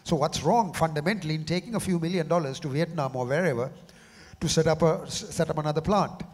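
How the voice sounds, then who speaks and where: Hoarse Sri panelist, middle-aged man, measured and halting, long hall reverb. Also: on the high side, darkish tone. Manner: measured, often repeats words as he restarts. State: slightly hoarse from a cough. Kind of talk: panel discussion. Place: large conference hall.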